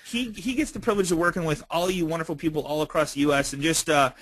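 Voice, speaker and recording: A man's voice: on the high side, fast, a bit bright, no room sound. A man hosting a webinar in a casual, effusive and enthusiastic way, chatting with his guest. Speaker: webinar host. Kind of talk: casual chat, introducing and prompting a guest.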